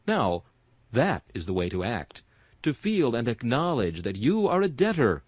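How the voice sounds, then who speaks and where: A man reads an old-fashioned sermon in an earnest, exhorting way. His pitch rises and falls expressively with the rhetoric, and the sound is dry and close, free of room echo. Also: very animated, unhurried, breathy edge. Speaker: sermon reader and host. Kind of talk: dramatic reading of a Victorian sermon.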